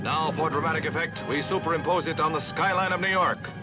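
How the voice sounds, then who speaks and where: A man's voice: fairly high pitch, fast talker. An American man narrating a 1950s newsreel in a formal, dramatic, declamatory manner. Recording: dull vintage newsreel, loud music behind. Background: loud music.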